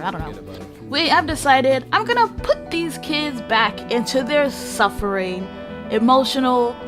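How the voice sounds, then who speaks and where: High-voiced woman gaming host over music. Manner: theatrical, mock-dramatic announcing mixed with offhand asides. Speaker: host of a gaming channel series. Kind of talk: casual gaming commentary with swearing. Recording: music behind the voice.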